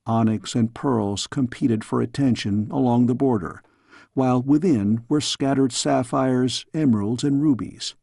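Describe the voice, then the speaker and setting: A man reading a story as an audiobook narrator, in measured, expressive storytelling style. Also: medium pitch, gravelly, no room sound, clean studio sound.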